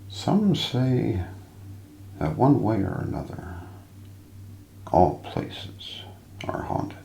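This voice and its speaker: A man softly narrating mystery stories in an ASMR style, hushed and deliberate, with pauses. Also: on the low side, sing-song, vocal fry, reverberant, slight background noise. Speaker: ASMR narrator hosting a mystery show roleplay. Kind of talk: soft ASMR scripted narration of ghost stories.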